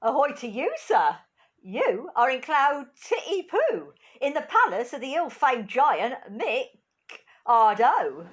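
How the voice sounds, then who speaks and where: A jolly old nursery maid played by a woman in a comic pantomime, high and wildly animated. She delivers her jokes slowly and theatrically, with rustic turns of phrase, over a home video call. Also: heavily acted. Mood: jolly teasing.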